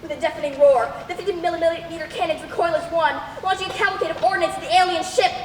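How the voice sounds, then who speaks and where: A woman playing an excitable radio reporter in a melodramatic stage radio drama about disaster. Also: extremely high pitch, quick pace, recorded from a distance. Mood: dramatic awe. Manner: melodramatic, breathless announcing. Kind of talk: mock 1930s radio drama acting on stage. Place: stage in a large hall. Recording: echoey noisy hall.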